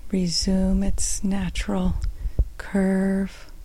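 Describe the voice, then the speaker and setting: A woman guiding a meditation with gentle, soothing, unhurried instructions and long pauses, in a soft and at times breathy voice. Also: mid-range voice, monotone. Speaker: meditation guide. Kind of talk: guided meditation.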